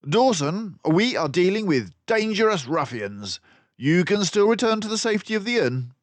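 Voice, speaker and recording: Man voicing a high-pitched, theatrical mouse detective. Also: sing-song, normal speed, no room sound. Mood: brisk, wry. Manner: emphatic, lecturing, precise. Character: clever, confident male mouse detective, theatrical and eager. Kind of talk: storybook character voice.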